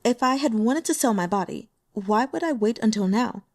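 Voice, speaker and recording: A woman reading aloud and voicing a scheming young woman who plays innocent, speaking softly, demurely and sweetly. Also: fairly high pitch, expressive, moderate pace, no room sound, clean studio sound. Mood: pleading. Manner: soft, demure and sweetly pleading.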